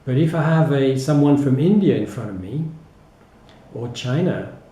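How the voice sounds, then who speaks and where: A man's voice: mid-range voice, animated, normal speed, dark tone. A man with a French accent giving reflective, explanatory interview answers as a teacher, speaking hesitantly and often checking in with a short tag. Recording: echoey, roomy sound.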